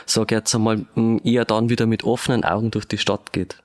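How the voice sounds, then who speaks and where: A man's voice: fairly low pitch, flat delivery, quick pace. A man in his fifties from Bavaria with a Bavarian accent, answering conversationally as a detective, in wordy, hedging phrases. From Regensburg, Bavaria. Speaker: police detective, podcast guest.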